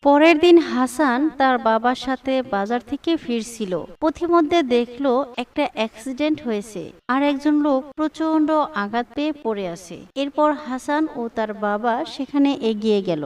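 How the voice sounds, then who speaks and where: A woman narrating a children's cartoon story in a calm, even storytelling voice. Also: high voice, moderate pace, hardly any pauses, smooth, recorded from a distance.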